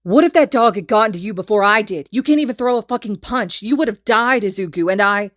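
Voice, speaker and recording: A woman's voice: mid-range voice, fast talker, no echo, clean studio sound, pitched above the performer's own voice, lightly acted. A woman reading a story aloud, voicing a furious, foul-mouthed teenage boy hero who roars, snarls and swears.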